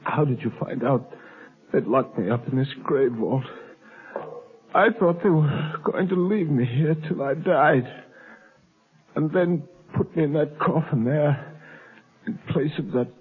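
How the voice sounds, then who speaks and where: Radio drama: a weak, frightened tomb prisoner, husky and slow, thin 1930s broadcast. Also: male voice, fairly high pitch. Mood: pleading. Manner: frightened, pleading. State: weak and parched. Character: weak starving man locked in a tomb. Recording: tinny old radio broadcast.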